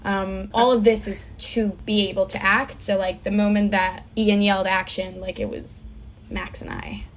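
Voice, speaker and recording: A woman's voice: medium pitch, little pitch movement, normal speed, fairly bright tone. A woman speaking as an actor and producer guest in a casual interview, earnest and informal.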